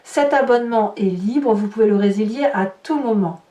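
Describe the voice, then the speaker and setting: A woman giving a tarot reading to her viewers, speaking slowly and emphatically in a reassuring, direct way. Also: mid-range voice, mellow, distant mic. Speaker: tarot reader. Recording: roomy, from off-mic.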